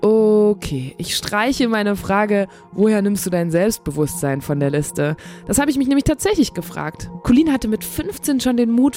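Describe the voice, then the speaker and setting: A woman hosting an interview, asking relaxed, curious questions with some read narration. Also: medium pitch. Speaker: podcast host.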